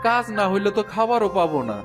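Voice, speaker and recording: Man voicing a high-pitched, plaintive poor village boy. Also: lively intonation, fast talker, over music. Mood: sorrowful. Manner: pleading, plaintive. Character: poor orphaned village boy Sumon, meek and sorrowful. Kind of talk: cartoon fairy-tale voice acting.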